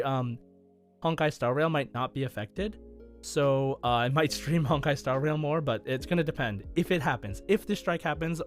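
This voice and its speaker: A man hosting a gaming podcast, talking casually. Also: mid-range voice. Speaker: podcast host.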